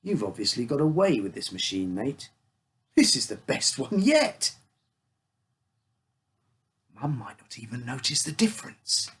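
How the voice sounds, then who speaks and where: A man's voice: mid-range voice, very animated, measured pace, long pauses, a bit bright, a little hiss or hum. A man reading a story, voicing a secretive, apologetic father who confides in his young son in a matey way. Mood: confiding, approving. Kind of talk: character dialogue in a read story.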